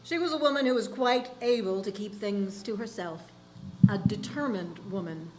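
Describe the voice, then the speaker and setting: Female stage reader, medium pitch, deliberate expressive story narration, slight hum and noise. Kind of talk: literary short story reading. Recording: faint background noise and hum.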